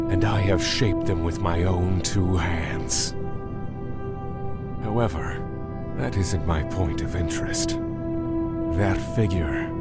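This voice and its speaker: A man playing a brooding dark god and father, speaking gravely and deliberately in a dramatic audio drama. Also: low-pitched. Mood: brooding grandeur. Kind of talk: dramatic first-person audio drama narration. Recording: loud music under the voice.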